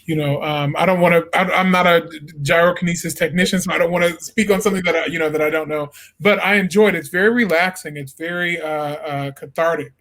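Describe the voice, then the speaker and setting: A man from Illinois, a jazz singer and interview guest, talking in a relaxed, thoughtful way with lots of "you know". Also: fairly high pitch, fast talker, hardly any pauses. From Illinois, USA. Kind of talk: casual interview talk.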